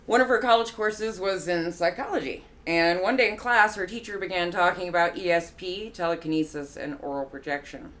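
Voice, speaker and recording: A woman with a low voice narrates a short story for a live reading. Her delivery is measured and careful, with the odd slip that she corrects. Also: a bit bright. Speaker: guest narrator, musician and artist.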